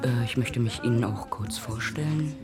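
An earnest woman radio editor rambles through a radio-play monologue in a halting, easily unsettled way. Her words come hesitantly, as if feeling for each one, with music playing clearly behind her. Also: a bass voice.

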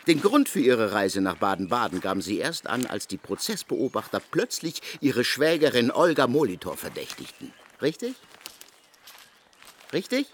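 A radio-drama criminologist, pompous and probing. His mid-pitched voice swings widely as he presses and lectures with emphatic insistence. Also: wildly swooping pitch, moderate pace, a little hiss or hum. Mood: accusing, insistent. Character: pompous, probing male criminologist interrogating a convicted killer. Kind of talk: German radio drama acting.

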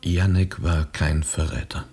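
An actor in a radio play, playing a grim, restrained revolutionary who speaks tersely and gravely. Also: male voice, steady tone, unhurried, a little mellow, soft background music, exaggerated voice. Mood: grim, reluctant. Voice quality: gravelly, husky, very deep. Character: somber, reluctant male revolutionary, grim and restrained.

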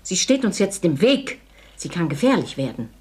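Very husky, scheming woman. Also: fairly low pitch, big pitch swings, normal speed, a little mellow. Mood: urgent scheming. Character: scheming, jealous woman urging another's ruin. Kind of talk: 1950s radio drama acting.

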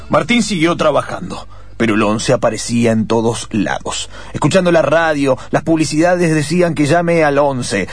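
A man's voice: mid-range voice, husky, no echo. A man with an Argentine accent narrating a suspense story dramatically, as in a radio play.

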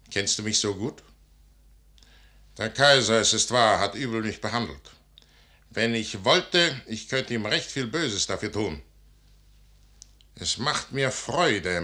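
An actor playing a proud, secretive commander who trusts the stars, declaiming classical verse grandly and deliberately in a 1955 radio drama. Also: male voice, on the low side, sharp tone, heavily acted. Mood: taunting, proud. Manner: grand declamatory delivery, deliberate emphatic phrasing. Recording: 1955 radio studio recording.

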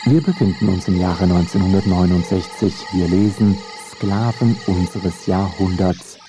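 A man's voice: sits low, normal speed, rough recording. A man reading scripted documentary narration for a radio feature in a wry, ironic manner. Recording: music behind the voice. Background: music.

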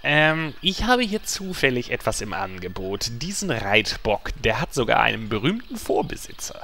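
A man's voice: medium pitch, very animated, normal speed, vocal fry, pushed and bright, a little hiss or hum, full character voice. A man playing a shady rogue peddler with wheedling sales patter in a comedic fantasy radio play. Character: shady, smooth-talking male rogue peddler.

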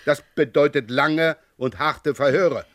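An actor playing a sardonic, persistent police inspector in a vintage radio crime drama, speaking in an ironic, deliberate way. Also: male voice, a little high, steady tone, fairly bright tone, no room sound, studio quality. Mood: stern, threatening.

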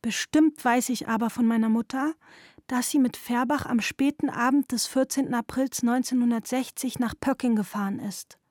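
An actress playing an adult daughter giving a measured, matter-of-fact witness statement in a documentary radio play. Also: female voice, fairly high pitch, steady tone, darkish tone, dry recording, clean studio sound, lightly acted. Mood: sober, factual. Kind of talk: scripted documentary radio play, formal reading.

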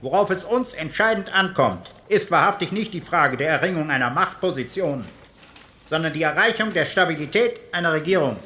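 A 1940s party man arguing back, high and bright, quite firm. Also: expressive, a little fast. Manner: firm, argumentative. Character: male conservative politician rebutting in a parliament debate. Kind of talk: historical radio drama acting.

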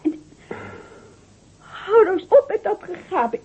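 High-pitched nagging bride-to-be. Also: female voice, big pitch swings, a little slow, slight background noise, heavily acted. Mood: exasperated. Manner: scolding, questioning, exasperated. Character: brisk, nagging, no-nonsense bride-to-be, a woman. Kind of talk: radio play acting. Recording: thin, narrow-band old radio recording.

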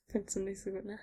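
A woman's voice: medium pitch, darkish tone, slightly rough. A woman co-hosting a podcast, playing a guessing game in casual chat, teasing and laughing easily. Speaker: podcast co-host.

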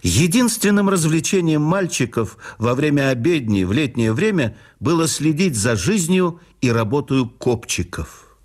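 A man reading a memoir aloud as a scripted radio narration, with a measured storytelling delivery. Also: very animated, darkish tone. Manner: measured, storytelling delivery with dips and lifts in pitch.

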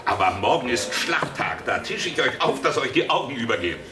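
An actor as a hearty, lively-pitched medieval innkeeper. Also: male voice, brisk, close to an everyday voice. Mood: hearty, obliging. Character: hearty, obliging male innkeeper of a medieval town. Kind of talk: radio drama dialogue. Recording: music behind the voice.

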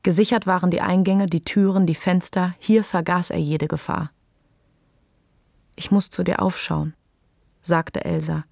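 A woman's voice: on the low side, creaky, a little mellow, slightly rough, no room sound, studio quality. A woman narrating a literary radio play from a script.

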